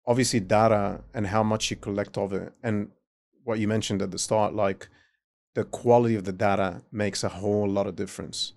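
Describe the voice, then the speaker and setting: Gravelly low-voiced male podcast host. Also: steady tone, normal speed, darkish tone, no echo, clean studio sound. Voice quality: speaks with a non-native accent. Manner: frequent short affirmations, probing questions. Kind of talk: casual interview chat.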